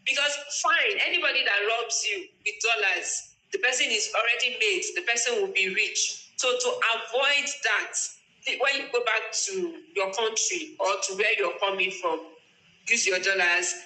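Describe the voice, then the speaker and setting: Bright, high man with echo. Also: moderate pace. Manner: stumbles and repeats words while adding points. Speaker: podcast co-host. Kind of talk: casual podcast chat. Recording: echoey room.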